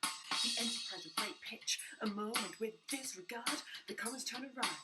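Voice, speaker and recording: A woman's voice: mid-range voice, normal speed, natural voice. A woman voicing a brash young male prince who raps a famous speech in a hyped, rhythmic delivery over a beat. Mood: hyped rapping. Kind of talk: comic rap performance. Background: loud music beat.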